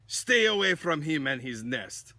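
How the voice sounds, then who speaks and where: A man plays a proud older male bear in a storybook tale. He is dismissive and righteous, admonishing in a forceful voice with lively rises and falls. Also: fairly high pitch, a little slow, dry recording, heavily acted. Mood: disapproving. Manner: proper, admonishing. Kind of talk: storybook character voice.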